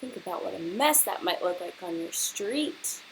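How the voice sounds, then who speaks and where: A woman teacher reading a picture book aloud to children and pausing to prompt them with questions, with hiss on the recording. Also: lowish voice, animated, low-quality recording. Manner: instructional, pausing to prompt young listeners.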